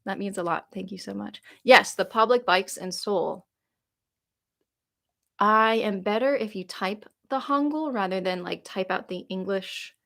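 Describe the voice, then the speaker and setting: A woman's voice: mid-range voice, measured pace, gravelly, a bit bright. A woman in her late twenties chats through viewer questions on a live stream. She rambles in a loose, friendly way, full of "like" and self-corrections, and laughs easily as she goes. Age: 20s. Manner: chatty, rambling, lots of "like" fillers and restarts; laughs easily while reading comments aloud. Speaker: YouTuber answering viewer questions. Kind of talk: casual live-stream Q&A chat.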